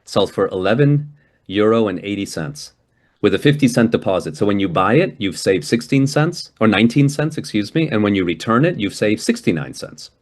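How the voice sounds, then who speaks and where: A man from Hungary speaks to a class over a video call, his voice sitting a little low. He talks in a loose, conversational way, restarting his sentences and tagging "right?" onto his points. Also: lively intonation, brisk. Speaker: guest speaker. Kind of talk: lecture with Q&A.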